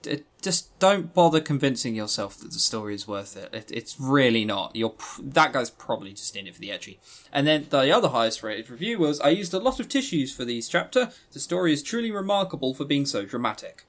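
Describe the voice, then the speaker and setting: A man's voice: medium pitch, sing-song, fairly quick, a bit bright. A man with a mid-range voice co-hosts a casual review podcast. He speaks bluntly, with a dry, joking edge and mild exasperation. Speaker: podcast co-host. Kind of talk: casual review chat about visual novels.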